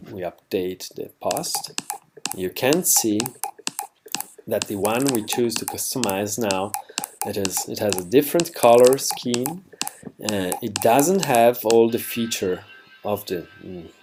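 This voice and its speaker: Slow, halting man explaining software. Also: on the low side, expressive, noisy background. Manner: halting, self-correcting, slightly non-native phrasing. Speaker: plugin developer demonstrating software. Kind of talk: unscripted screencast tutorial.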